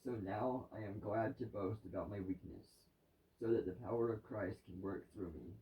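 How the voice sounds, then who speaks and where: A man reading a devotional scripture passage aloud in a halting way, stumbling now and then, recorded at home. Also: lowish voice. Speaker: reader of a daily devotional. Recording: distant, roomy, dull lo-fi sound.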